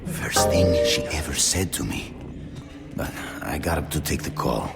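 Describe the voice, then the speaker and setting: An actor playing a hot-blooded, brooding demon hunter in a video game, gruff and gravelly with a Mexican Spanish accent. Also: male voice, very deep voice, animated, measured pace, long reverb tail, loud music behind the voice, full character voice. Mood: brooding, tender. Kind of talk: scripted video game acting.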